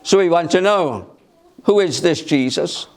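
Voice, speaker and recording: A man preaching a sermon in a church through a microphone, speaking loudly and emphatically and asking rhetorical questions. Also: a little high, animated, a little slow. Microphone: amplified by a microphone.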